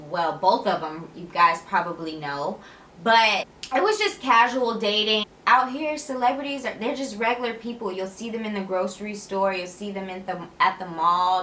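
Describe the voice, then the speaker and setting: Young woman vlogger, bright and chatty, a touch raspy from tiredness, recorded in an echoey room. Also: medium pitch, normal speed, a little hiss or hum. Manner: energetic, chatty, laughs often, sassy asides. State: tired, slightly raspy voice late at night. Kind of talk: casual chat to camera.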